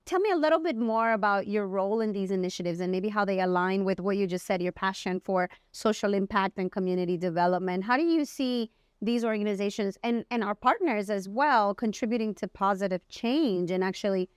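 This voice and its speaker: Woman host from Colombia, smooth clear voice, medium pitch, dry close sound. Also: a little fast, no room sound. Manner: warm affirming, frequent backchannel agreement. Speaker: podcast host. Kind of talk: conversational interview.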